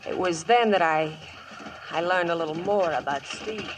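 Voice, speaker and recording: A woman's voice: lowish voice, normal speed, a little hiss or hum, close to an everyday voice, rough recording. An actress playing a plucky, wistful frontier woman in an old radio western, both narrating and acting her story. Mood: grave, reflective. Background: dramatic music.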